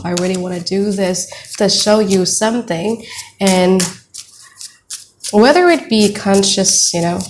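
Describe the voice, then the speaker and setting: A woman with an Indonesian accent talks through a tarot reading in a loose, chatty way, full of "like" and "you know". Her voice sits somewhat low for a woman. There is a faint noise floor under the recording. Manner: chatty, filler-heavy, addresses viewers as "you guys". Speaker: tarot reader. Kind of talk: casual tarot reading monologue.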